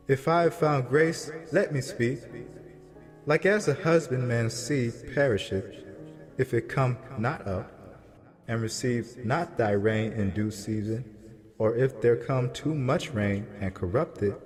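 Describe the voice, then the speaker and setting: A man plays a humble prophet in a scripture drama, pleading reverently in archaic phrases. The delivery is very slow and earnest. The voice rings in long reverb with music underneath. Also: medium pitch, a little mellow. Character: humble male prophet pleading with God for mercy.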